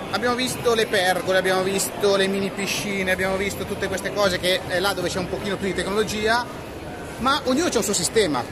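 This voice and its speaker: A man, chatting casually and animatedly to camera as a tech vlogger, recorded on a handheld phone. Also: high-pitched. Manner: animated, joking, conversational. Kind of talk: casual chat to camera while demonstrating products. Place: large, busy trade fair hall. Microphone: handheld phone at arm's length. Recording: busy fair crowd noise behind.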